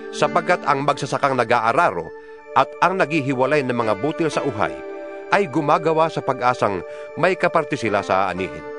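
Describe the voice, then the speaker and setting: A man giving a dramatized scripture reading in a declamatory way, pressing a string of pleading rhetorical questions. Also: on the high side. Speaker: Bible reader. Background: instrumental music.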